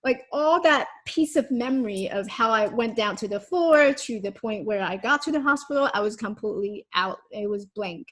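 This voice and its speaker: A woman from Taiwan speaks as a podcast guest, recounting her own story in a relaxed, conversational flow. Her voice sits a little above the usual female pitch, and she leans on "like" and "you know" as she talks. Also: normal speed, few pauses, rough recording. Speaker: guest, confidence coach. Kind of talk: personal storytelling in an interview.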